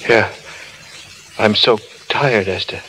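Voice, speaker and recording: An actor playing a proud but weary former film star, subdued and wounded, in a 1930s radio drama. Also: male voice, fairly low pitch, slow pace, bright, forceful tone, a little hiss or hum. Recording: old radio broadcast, crowd chatter behind.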